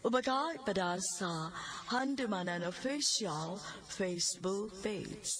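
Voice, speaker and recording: A Filipino woman with a Cebuano accent announces a radio drama from a script. She speaks slowly in a mid-range voice. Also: medium pitch, expressive, crowd noise.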